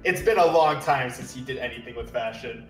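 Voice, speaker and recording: A man's voice: mid-range voice, a little fast, a bit bright. A man playing a tabletop roleplaying game, casually describing what his character does as he thinks aloud. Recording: roomy echo, music underneath.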